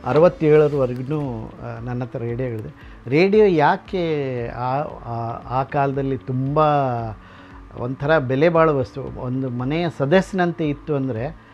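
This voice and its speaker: A man's voice: medium pitch, slow pace, a little hiss or hum. Middle-aged man, dull lo-fi recording. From Karnataka, India. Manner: explanatory, with English words mixed in. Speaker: radio collector and museum founder. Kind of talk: informal guided tour talk. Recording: dull lo-fi sound, music behind. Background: music.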